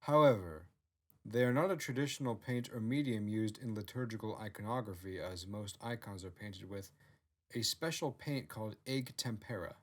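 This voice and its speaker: A man's voice: lowish voice, moderate pace. A man reads scripted narration as the author of the written work. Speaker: author narrating own novel.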